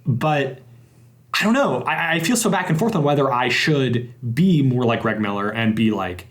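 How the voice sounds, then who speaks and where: A young man from the American South hosts a casual interview. His pitch is average for a man. He rambles informally and often corrects himself mid-sentence. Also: animated, normal speed. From Southern United States. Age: young adult. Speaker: podcast host, interviewer.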